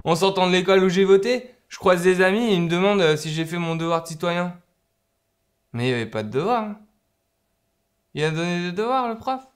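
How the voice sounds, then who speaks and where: A man acts out a bumbling voter in an absurd comedy sketch. The voice sits fairly high, halting and repetitive, taking everything literally and protesting with muddled earnestness. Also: lively intonation. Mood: earnest, puzzled. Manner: halting, repeats himself.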